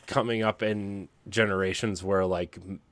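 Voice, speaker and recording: Low-pitched man chatting candidly. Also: fairly even intonation, dry recording. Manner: halting, hedging delivery. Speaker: podcast co-host. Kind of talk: casual, candid chat.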